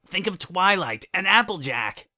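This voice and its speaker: Brash young female pegasus pony, played by a man, raised pitch, desperate, grief-stricken, for dramatic fiction audio. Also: very animated, measured pace, sharp tone, exaggerated voice. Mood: desperate, angry. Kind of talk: dramatic fan fiction reading.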